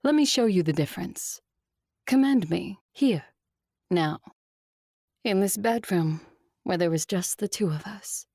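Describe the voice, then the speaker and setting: An actress playing a proud, seductive vampire ruler in an audio drama, with a cool, controlled, imperious manner. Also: female voice, medium pitch, lively intonation, unhurried, long pauses, a little mellow, no echo, clean studio sound. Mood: taunting, seductive. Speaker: voice actor.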